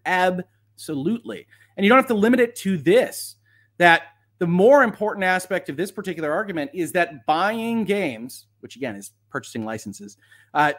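A man's voice: sits high, a bit bright. A man hosting live legal commentary, reading points aloud and reacting off the cuff in an analytical, lawyerly way. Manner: analytical, measured hedging, lawyerly vocabulary.

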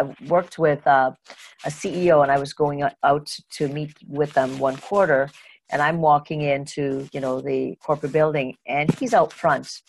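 A woman with a deep, low-set voice hosts an interview. She is from Trinidad and Tobago and talks conversationally. She thinks aloud at length, reflecting as she goes. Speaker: podcast host and interviewer.

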